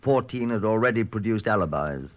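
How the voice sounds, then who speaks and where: Low-voiced, dry police inspector played by an actor. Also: male voice, lively intonation, brisk, lightly acted. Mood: measured, matter-of-fact. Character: dry, determined male police chief inspector. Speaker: actor, narrator and lead of radio drama. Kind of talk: golden age radio police drama acting. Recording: dull, lo-fi old radio recording.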